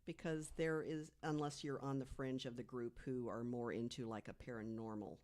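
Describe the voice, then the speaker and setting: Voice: medium pitch, fairly even intonation, moderate pace, darkish tone. An American podcast co-host telling a researched story in a conversational way, partly from prepared notes.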